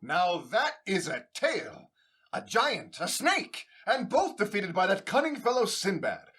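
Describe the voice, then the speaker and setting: An actor playing an eager, opinionated listener to tales in a radio drama, exclaiming emphatically. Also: male voice, high-pitched, big pitch swings, measured pace, sharp tone, husky, full character voice. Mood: excited. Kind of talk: theatrical radio drama acting.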